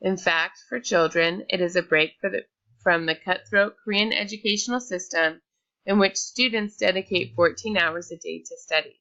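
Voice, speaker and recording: Bright-voiced woman reading a presentation. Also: fairly low pitch, moderate pace, muffled recording. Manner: reads from a script with small slips and restarts. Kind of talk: read-aloud slide presentation.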